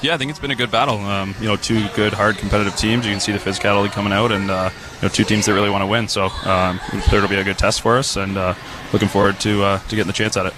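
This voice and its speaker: A man in his twenties, a pro athlete, answering casually and haltingly with many you-knows. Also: fairly low pitch, flat delivery. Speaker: professional hockey player being interviewed. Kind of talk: casual sports interview. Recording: noisy arena interview.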